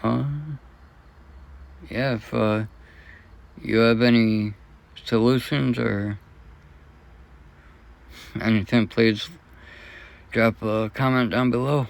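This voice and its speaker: Guy doing a casual vlog, kinda unsure and halting, gravelly fry in his voice, takes his time. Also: mid-range voice, fairly even intonation. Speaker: vlogger. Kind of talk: casual unscripted troubleshooting vlog.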